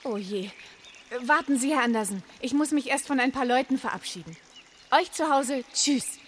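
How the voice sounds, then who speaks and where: A woman playing a brisk, exasperated fairy-tale troubleshooter with a matter-of-fact manner in a children's radio play. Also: high-pitched, lively intonation, a little hiss or hum.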